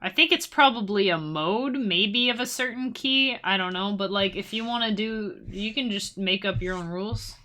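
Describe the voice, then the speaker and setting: Bright-voiced young woman, rambling tutorial chat. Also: mid-range voice, moderate pace, few pauses. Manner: rambling, self-deprecating, playful asides. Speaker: music creator teaching on stream. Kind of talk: casual live-stream tutorial chat.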